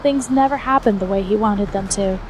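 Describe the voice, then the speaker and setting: A woman narrates an action fantasy tale from a script. Her pitch is in the middle range for a female reader. A faint layer of noise sits behind the voice. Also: brisk, darkish tone, low-quality recording. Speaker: fan fiction narrator.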